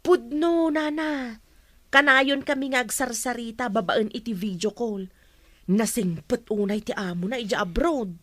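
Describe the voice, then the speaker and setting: A woman acts a pious, trusting young Ilocano woman in a radio drama. Her voice is on the high side and earnest, recorded dry. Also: a little slow, no room sound. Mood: sincere, respectful.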